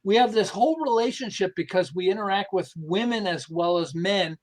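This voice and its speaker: A man talking conversationally as a podcast guest, explaining through anecdotes in an animated, stop-and-start way. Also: high voice, brisk. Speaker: podcast guest, behavioral management specialist.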